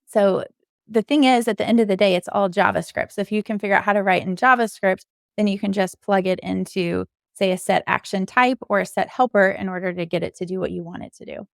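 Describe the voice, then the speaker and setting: Creaky-voiced woman explaining code casually. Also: medium pitch, brisk, dry recording, studio quality. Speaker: podcast co-host and developer.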